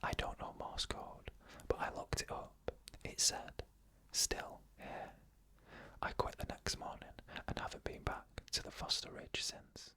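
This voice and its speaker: A storyteller who whispers every word, unvoiced and close to the microphone. The breathy whisper has a bright, crisp edge, and each short phrase is set apart by calm, measured pauses, like bedtime reading of eerie tales. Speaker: ASMR storyteller. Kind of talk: whispered ASMR narration of scary stories.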